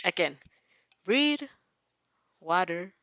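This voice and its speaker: A woman reads a story aloud with lively, swinging intonation. Her delivery is expressive and informal, slipping between narration and her own casual reactions. The sound is close and dry. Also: lowish voice, very slow, no room sound, lo-fi sound. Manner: animated, breaks off to react and restart sentences. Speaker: fan fiction reader and reactor. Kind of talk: expressive audio reading of fan fiction with casual asides.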